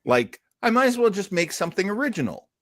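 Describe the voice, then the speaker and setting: Animated man with a lively, swooping pitch. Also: fast talker, no echo. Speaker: podcast host. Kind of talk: casual talk show discussion.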